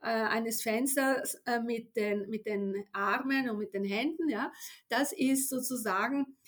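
High-pitched Italian-born woman explaining emphatically. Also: measured pace. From Italy. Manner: emphatic, didactic, often adds tag "ja". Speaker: neuroscientist and language teacher, expert guest. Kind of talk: explanatory expert interview.

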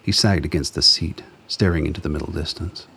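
A man narrating a radio play in a measured, solemn storytelling manner. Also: extremely low pitch, steady tone, creaky, sharp tone, slightly rough.

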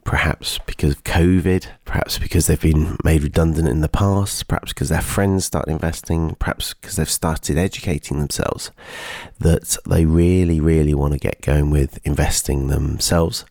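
Deep, gravelly male podcast host, congested with a cold, dry close sound. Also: normal speed, no echo. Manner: steady, explanatory, advisory. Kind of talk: solo podcast talk.

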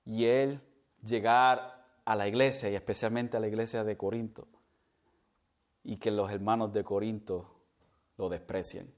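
Male pastor, lively sermon delivery, mixes in English, laughs off his own slips. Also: fairly low pitch, a little slow, long pauses. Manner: animated preaching, mixes in English words; stumbles over words and laughs at himself.

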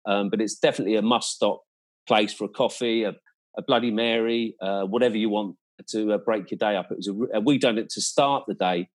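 A man's voice: lowish voice, steady tone, fairly quick. A man in a casual, conversational chat, recounting his experiences as a guest in an enthusiastic, descriptive and emphatic way.

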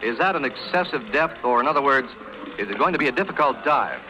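A man's voice: mid-range voice, animated, quick pace, dull audio. A man reporting and interviewing in the formal style of 1930s radio news. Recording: noisy 1930s radio broadcast.

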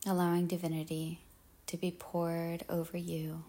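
A woman leading a guided meditation in measured, solemn phrases with pauses, her husky voice trailing into breathiness. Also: lowish voice, completely flat delivery, dark tone.

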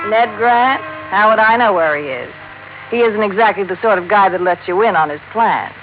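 An American actress playing a worldly, guarded, wry nightclub singer in a 1950s radio detective drama. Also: female voice, mid-range voice, normal speed, music in the background, close to an everyday voice. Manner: casual, world-weary, guarded. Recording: tinny, noisy old radio recording.

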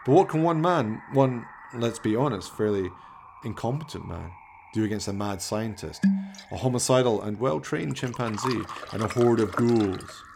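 A man's voice: on the low side, moderate pace, vocal fry, background noise. A man delivering theatrical, scripted narration for a comic horror radio play, in an arch, melodramatic manner.

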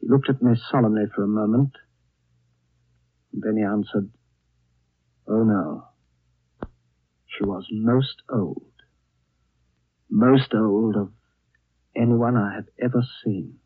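Low, husky reflective storyteller. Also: male voice, steady tone, dry recording, narrow, tinny audio. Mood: suspenseful. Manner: deliberate, hushed, suspenseful. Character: reflective storyteller recounting a strange tale. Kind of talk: 1930s radio acting, dramatic narration. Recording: thin, dry 1930s radio broadcast.

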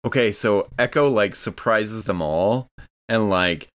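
A man's voice: lowish voice, measured pace, fairly bright tone. A man casually narrating his character's actions in a tabletop game, in a loose, rambling way.

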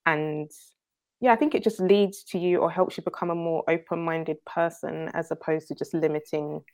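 A woman's voice: low voice, steady tone, moderate pace. A young woman from North London with a London accent, chatting as a guest in an interview, laughing now and then and using "like" a lot. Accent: London English. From North London, England. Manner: chatty, laughs often, leans on "like" and "um". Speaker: guest entrepreneur. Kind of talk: casual interview talk.

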